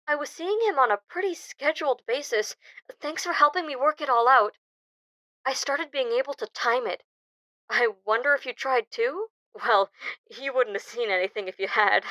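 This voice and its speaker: A woman's voice: high-pitched, pushed and bright. A woman playing an anxious, wary young therapy patient in an audio drama, earnest and hesitant in manner. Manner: hesitant, earnest, stammering under pressure.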